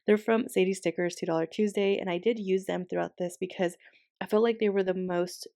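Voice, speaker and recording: A young woman hosting her planner channel in a casual voiceover, slightly hoarse from losing her voice, chatty and rambling with many ums and likes, recorded in her bedroom. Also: medium pitch, fairly even intonation, brisk, dark tone, no room sound, clean studio sound.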